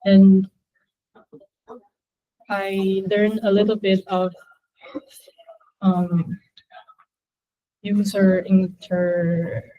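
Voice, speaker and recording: Slow, hesitant young woman presenting. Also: medium pitch, little pitch movement, phone-quality sound. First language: Chinese. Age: young adult. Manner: halting, hesitant, many fillers. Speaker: student presenting a portfolio. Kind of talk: class presentation.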